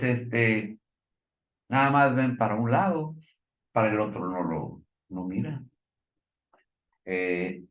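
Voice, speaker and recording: Slow-talking Mexican man in a roomy recording. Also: fairly low pitch, dull audio. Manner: halting, hesitant delivery with filler words and stumbles. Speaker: commentator. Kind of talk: informal news commentary, partly reading reports.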